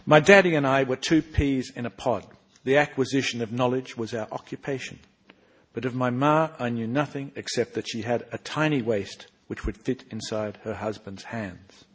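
A cheeky, sharp-witted boy narrator full of bookish pride, as a man reads him aloud. The voice is husky with a breathy grain, and the telling is animated, pushing up into emphatic rises. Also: medium pitch, moderate pace, voice pushed up, lightly acted, rough recording. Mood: spirited. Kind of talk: reading aloud from his own book.

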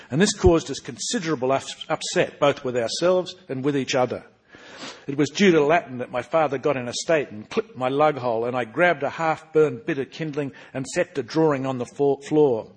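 Man reading as a sharp cheeky boy who loves books, husky, punchy animated telling. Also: medium pitch, voice pushed up. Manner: animated storytelling with emphatic rises. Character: sharp-tongued boy narrator, bookish and cheeky. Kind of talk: reading aloud from his own book.

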